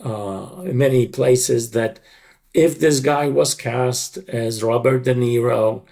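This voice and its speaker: Middle-aged male interview guest, gravelly mid-pitched voice, explains things emphatically in conversational phrases. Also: takes it slowly, mellow. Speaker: interview guest, dubbing creative director.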